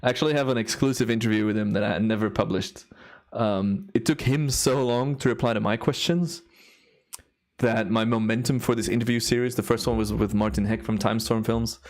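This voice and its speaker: A man's voice: fairly low pitch, steady tone, a little fast, darkish tone. A man hosting a live stream in casual, enthusiastic commentary, his voice a little worn from a long day of recording. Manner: enthusiastic, awed exclamations. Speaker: live stream host, timelapse photographer.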